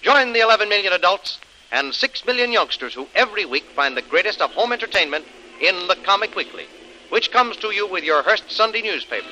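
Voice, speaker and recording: High, piercing male radio announcer. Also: pushed and bright, rough recording. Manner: emphatic, theatrical. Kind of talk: dramatic 1930s radio announcing, scripted.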